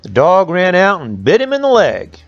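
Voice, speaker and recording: A man's voice: sits high, huge pitch swings, normal speed, highs cut off, soft background music. A man telling a folk tale in a folksy oral style, with rustic phrasing and conversational asides. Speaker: storyteller.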